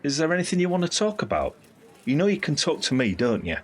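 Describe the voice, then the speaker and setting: An actor playing a candid, caring British uncle in his fifties in an audio drama, with a husky voice. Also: fairly high pitch, wildly swooping pitch, fast talker, exaggerated voice. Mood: teasing, concerned. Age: 50s. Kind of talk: audio drama acting, conversational monologue.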